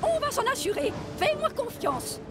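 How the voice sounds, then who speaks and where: A woman's voice: very high-pitched, big pitch swings, normal speed. A woman voicing a bossy, fretful steam shovel in a French cartoon dub, exasperated and dramatic. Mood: suspicious. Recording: music behind the voice.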